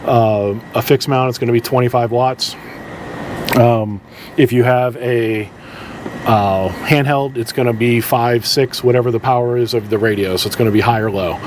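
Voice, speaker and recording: Male boat captain presenter, mid-pitched, conversational and halting, casual how-to delivery, faint steady hum behind him. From southeastern United States. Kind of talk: casual instructional vlog.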